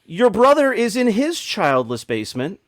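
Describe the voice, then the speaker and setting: A man's voice: sits high, huge pitch swings, normal speed, no room sound, clean studio sound. A man hosting a casual podcast, talking informally to an audience and co-hosts. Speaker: host.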